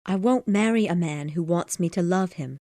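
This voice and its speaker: A man's voice: high voice, normal speed, mellow, dry recording, clean studio sound, voice pushed up. A man voicing a cool, detached young woman. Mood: cold. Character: cold proud young woman, unfeeling. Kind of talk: storybook reading.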